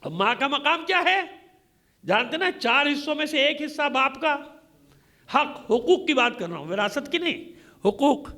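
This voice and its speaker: A man's voice: extremely high pitch, big pitch swings, moderate pace, sharp tone. A man preaching an impassioned majlis sermon in a combative, declamatory way, using rhetorical questions and emphatic repetition. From Pakistan.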